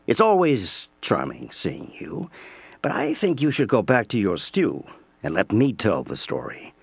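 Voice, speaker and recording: A man narrates an audio play as its presenter. His voice is low and husky, moving expressively through theatrical, deliberate phrases, with a dry studio sound. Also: wildly swooping pitch, no room sound. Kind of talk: scripted audio drama narration.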